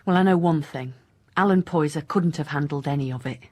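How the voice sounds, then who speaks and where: Radio drama: weary female inspector, husky, dry delivery, lowish register. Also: brisk, warm tone. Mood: weary musing. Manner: blunt, dry, questioning. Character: driven, weary, sardonic female police inspector. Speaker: actor.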